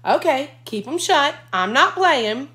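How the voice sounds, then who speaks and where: A woman's voice: sits high, lively intonation, a little slow, sharp tone, voice pushed up, heavily acted. A woman voicing a cheerful, showy father who slips into a hammy salesman patter. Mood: teasing.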